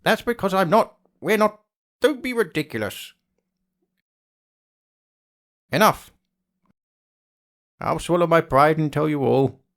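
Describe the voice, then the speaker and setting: Creaky, high-voiced old attorney. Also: male voice, animated, measured pace, pauses often, fairly bright tone, heavily acted. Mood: flustered. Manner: blustering, old-fashioned, stammering when flustered. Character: blustery, troubled old male attorney, grandfatherly. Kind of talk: dramatic video game character acting.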